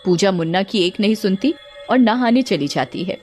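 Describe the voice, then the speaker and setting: A woman's voice: medium pitch, lively intonation, normal speed, darkish tone, soft background music. A woman narrator reading a scripted story.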